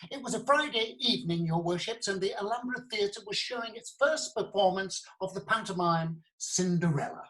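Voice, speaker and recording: A woman's voice: medium pitch, normal speed, hardly any pauses, fairly bright tone, close to an everyday voice, rough recording. Woman acting an earnest, theatrical prosecutor. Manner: formal, storytelling, deferential. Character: earnest, theatrical prosecuting lawyer recounting a case. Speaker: prosecuting lawyer. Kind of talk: comic courtroom radio play acting.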